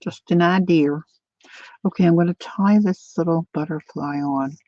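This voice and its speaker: An older woman chatting casually to viewers while she crafts, thinking out loud as she works. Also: on the low side, fairly even intonation, moderate pace, no room sound. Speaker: craft livestreamer. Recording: dull lo-fi dry sound.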